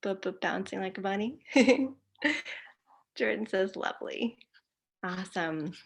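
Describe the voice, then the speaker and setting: Woman, stutters, moderator, cheerful, laughs often, mid-pitched, video call. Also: animated, unhurried, vocal fry, breathy edge. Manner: stutters with repeated sounds, upbeat and laughing often. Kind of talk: casual video-call chat.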